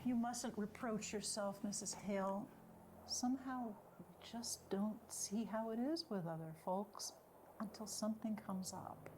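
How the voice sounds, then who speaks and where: A woman's voice: mid-range voice, measured pace, noisy background, lightly acted. A woman playing a reserved, kindly small-town wife in a stage drama, speaking in a proper and hesitant way. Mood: consoling. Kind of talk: stage drama dialogue acting.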